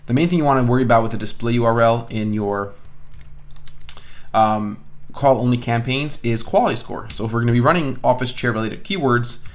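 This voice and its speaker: Man casually narrating a software tutorial. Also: mid-range voice. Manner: casual, explanatory, thinking aloud. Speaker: online course instructor. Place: indoors at a computer, voice-over of screen recording.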